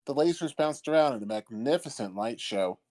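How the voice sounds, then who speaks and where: Mid-pitched man narrating a story. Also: expressive, a little fast, a little mellow. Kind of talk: scripted comedic fanfiction narration. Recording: old-timey low-quality mic, background music.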